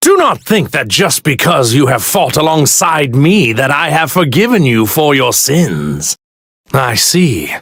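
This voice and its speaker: Haughty villainous god, swooping delivery. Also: male voice, a little high, very animated, a little slow, a bit bright, dry recording, studio quality, full character voice. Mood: condescending menace. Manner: arrogant, disdainful, grandly formal. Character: haughty villainous god in a stolen warrior body. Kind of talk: theatrical anime fighting game voice lines.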